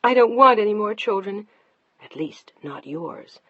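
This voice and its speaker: A narrator voicing a tearful, defiant middle-aged wife who pleads and shouts, in a slightly muffled dry recording. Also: female voice, expressive, unhurried, dry recording. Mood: distraught defiance. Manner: pleading and shouting, high-strung.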